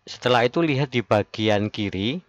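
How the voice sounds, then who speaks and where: A man giving a step-by-step software tutorial as its presenter, recorded as a voice-over. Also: mid-range voice, expressive, normal speed, dry recording. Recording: dull lo-fi voice-over.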